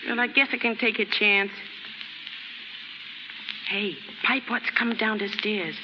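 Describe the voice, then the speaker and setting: A woman's voice: mid-range voice, steady tone, unhurried, natural voice. A woman acting a naive, streetwise, poor young American chorus girl in slangy, ungrammatical speech on a 1930s radio drama. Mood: suspicious, frank. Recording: muffled, very noisy, reverberant 1930s radio recording.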